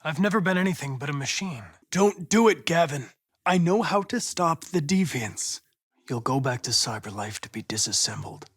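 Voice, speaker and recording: A polite, determined young male android investigator for a video game. His voice sits fairly high for a man and swings widely in pitch. He speaks in formal, exact, controlled phrases, set on his police mission. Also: lively intonation, normal speed, a bit bright, breathy edge, no room sound, clean studio sound. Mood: cold, resolute. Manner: formal, precise, controlled diction.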